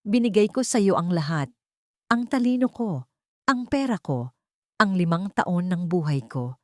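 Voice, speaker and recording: An icy, scornful wife played by a woman narrator in an audio drama, in a lower female register. Her pitch moves widely as she delivers bitter, vengeful lines, and the sound is close and dry. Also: expressive, measured pace, no echo. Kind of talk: dramatic audio story dialogue.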